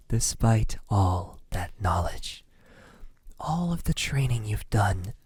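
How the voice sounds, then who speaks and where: A man playing a brooding vampire in an ASMR roleplay, speaking softly and intimately with a breathy, halting delivery. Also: fairly low pitch, huge pitch swings, no echo, heavily acted. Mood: cold, condescending. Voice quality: soft, breathy, husky. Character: lonely, lovesick male vampire, menacing toward humans.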